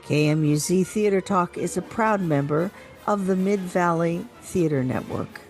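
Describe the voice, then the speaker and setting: A woman's voice: lowish voice, unhurried, darkish tone, faint music behind. A woman co-hosting a radio show, asking questions and reading scripted announcements.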